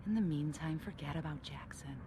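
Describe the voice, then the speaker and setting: A driver's loving, teasing partner in a video game cutscene. She is frank and gently chiding, natural in delivery, with clear ambient noise behind her. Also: deep voice, a little fast. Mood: teasing, encouraging. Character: supportive, teasing female partner of a racing driver.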